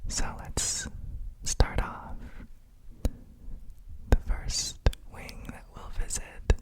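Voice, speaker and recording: Very slow whispering woman. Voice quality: whispered, breathy. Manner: gentle, soothing, unhurried with frequent pauses. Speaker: ASMR host guiding relaxation. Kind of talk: ASMR guided relaxation for sleep.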